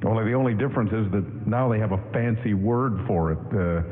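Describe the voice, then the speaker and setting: A man's voice: lowish voice, fairly quick, natural voice. Man acting hesitant young veteran, tinny radio drama. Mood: troubled, self-doubting. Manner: hesitant, stammering, repeating words. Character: troubled young war veteran, former athlete, earnest and self-doubting. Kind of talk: 1940s radio drama acting, staged live. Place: stage of a town hall, live broadcast. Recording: phone-like AM radio aircheck, echoing hall, music.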